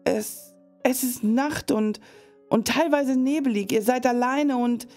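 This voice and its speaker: A woman voicing Shirley, a mysterious older medium in an audio drama, speaking in slow, halting visions. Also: fairly high pitch, darkish tone, soft background music. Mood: trance. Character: mysterious older female fortune teller. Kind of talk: German fan audio drama.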